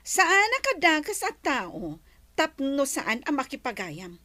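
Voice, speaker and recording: A woman's voice: high voice, big pitch swings, slow pace, sharp tone, dry recording, exaggerated voice. An actress playing a haughty wealthy woman who talks to her driver in a cutting, scolding way. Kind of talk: radio drama acting.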